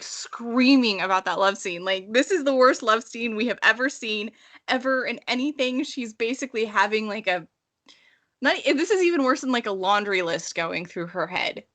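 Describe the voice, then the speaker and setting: A woman's voice: fairly high pitch, pushed and bright. A woman in her thirties co-hosting a casual book discussion, speaking in an emphatic, exasperated way. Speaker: podcast co-host; romance writer.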